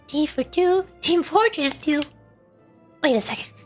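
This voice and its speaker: A woman with a very high voice streams as a VTuber, with music playing behind her. She chats casually to her viewers, rambling and repeating words, with playful little exclamations. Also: lively intonation, a little slow. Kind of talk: casual livestream chat with viewers. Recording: background music.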